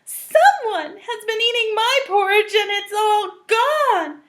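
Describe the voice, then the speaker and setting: An excitable little bear cub, high-pitched and breathless, stretching out his words with wide-eyed wonder, as a woman puts him on. A storybook voice, slow and loud. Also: exaggerated voice. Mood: excited. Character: small excitable young male bear cub.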